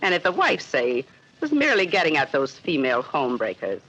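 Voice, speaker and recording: A woman's voice: low voice, wildly swooping pitch, brisk, fairly bright tone, full character voice. An actress playing a falsely sweet, chattering neighbor woman with a hidden menace, in 1940s radio acting style. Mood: sugary. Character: sinister gossipy neighbor woman, sugary and menacing. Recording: muffled old radio recording with faint hiss.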